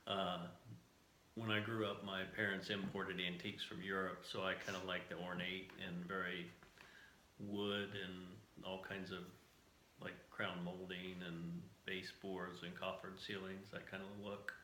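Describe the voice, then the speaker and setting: A man's voice: sits low, flat delivery, measured pace, bright, forceful tone. An American man talking casually and plainly, in a conversational interview style. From United States, moved around before settling in Southern Ohio. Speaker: house-hunting show applicant. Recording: echoey room with faint background noise.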